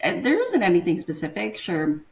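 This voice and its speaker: A young woman from Taiwan with a low-pitched voice talks as a guest in a casual interview. She speaks in a hesitant way, filling gaps with "um" and "like" while she hunts for the right term, and her voice sits low and steady. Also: moderate pace, vocal fry. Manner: hesitant, searching for words with many fillers.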